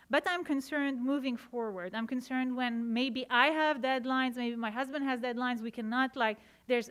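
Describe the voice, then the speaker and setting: A woman from Lebanon, a parent giving a public comment at a school board meeting, speaking earnestly and haltingly with many fillers. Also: a little high, a bit bright, smooth.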